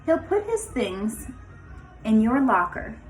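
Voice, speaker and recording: A woman kindergarten teacher reading a picture book aloud to young children in an expressive, instructive way, pausing for asides. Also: fairly high pitch, faint music behind, low-quality recording.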